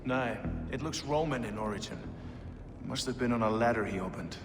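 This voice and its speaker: A man's voice: mid-range voice, fairly quick, faint music behind, close to an everyday voice. An actor playing a pragmatic, hard-nosed male medieval city reeve in a video game, blunt and authoritative. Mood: thoughtful.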